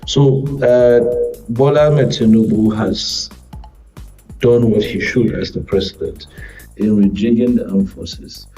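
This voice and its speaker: A man with a Nigerian accent giving formal analysis as a panel guest, in measured, wordy, halting phrases. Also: medium pitch, fairly even intonation, warm tone. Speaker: guest governance commentator. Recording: muffled distant microphone, faint music behind.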